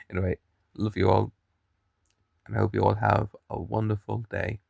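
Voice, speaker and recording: A man's voice: fairly low pitch, little pitch movement, measured pace, vocal fry, darkish tone, no room sound, studio quality. A young man with a mild Irish accent, chatting and rambling to camera in a daily vlog, often restarting his sentences. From Ireland. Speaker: daily vlogger. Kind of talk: casual chat to camera.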